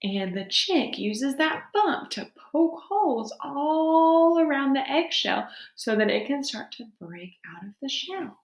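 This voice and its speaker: A woman's voice: high voice, sing-song, slow pace, hardly any pauses, muffled recording. A woman teacher doing an interactive read-aloud for young children, explaining simply and expressively with questions along the way. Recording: roomy, echoing sound.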